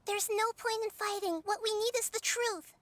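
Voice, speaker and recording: A woman voicing a wise, earnest child goddess in a video game, speaking in a soft, thoughtful manner. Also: extremely high pitch, fairly even intonation, normal speed, a little hiss or hum. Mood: earnest, pleading.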